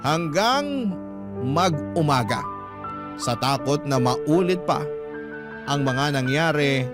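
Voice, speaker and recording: Guy narrating a radio drama letter, kinda high voice, music behind. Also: animated, slow.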